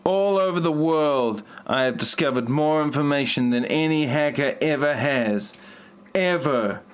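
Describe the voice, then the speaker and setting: A man's voice: fairly high pitch, measured pace, smooth, lightly acted. A man playing an earnest, self-important novelist and hacker in an amateur parody audio play. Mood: solemn announcing.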